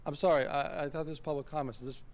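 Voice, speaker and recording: A man with a somewhat high voice gives a public comment at a town meeting microphone. He is deliberate and earnest, pressing his argument like a citizen addressing officials. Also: fairly even intonation. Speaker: member of the public speaking at a meeting. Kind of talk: public comment speech at a local government meeting. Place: town committee meeting room. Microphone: standing at a public microphone.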